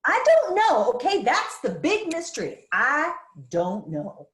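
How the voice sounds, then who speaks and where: A woman's voice: sits high, wildly swooping pitch, measured pace, heavily acted. A woman playing a fearless, protective middle-aged single mother from a small town in a screenplay read, in a roomy recording. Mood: exasperated. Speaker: actor in a screenplay table read. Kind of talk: dramatic screenplay table read. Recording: roomy, echoey sound.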